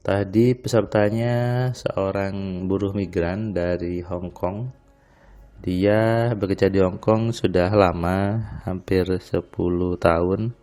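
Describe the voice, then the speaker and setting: A man recording a relaxed spoken diary on his home terrace at night, his voice a little nasal and stuffy, rambling with frequent fillers. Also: sits low, slow, soft background music. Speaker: motivational audio diarist. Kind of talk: casual spoken diary monologue.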